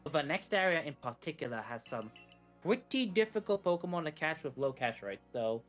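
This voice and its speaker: A man's voice: on the high side, very animated, brisk, faint music behind. A man giving casual live commentary on a video game run, explaining it in a wry, tongue-in-cheek way. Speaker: speedrun co-commentator.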